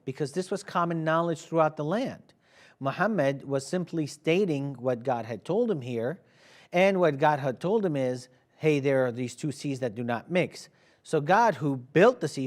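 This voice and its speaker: Earnest argumentative man, native Arabic speaker. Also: fairly high pitch. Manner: earnest, argumentative, frequent filler hesitations. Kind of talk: religious debate argument.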